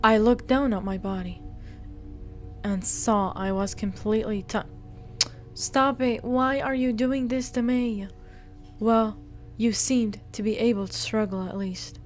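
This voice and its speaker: Female game streamer, higher register, unhurried delivery, reads story text with stumbles and blunt, playful asides, music underneath. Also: steady tone. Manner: stumbles over words while reading aloud. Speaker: game streamer doing a walkthrough. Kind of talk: casual visual novel read-aloud with commentary.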